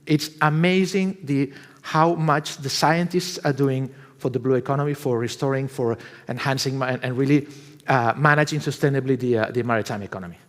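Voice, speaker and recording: A man finishing a public talk at a symposium, speaking in halting phrases with frequent restarts. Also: mid-range voice, fairly even intonation. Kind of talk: public presentation. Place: symposium hall. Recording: long hall reverb.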